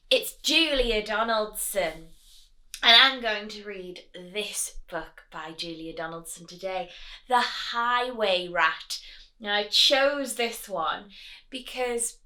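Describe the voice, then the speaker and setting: Slow, bright-voiced woman reading to children. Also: medium pitch. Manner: expressive, slow, addressing listeners with questions. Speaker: teacher reading a storybook to children. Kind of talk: storytime read-aloud with asides to young listeners.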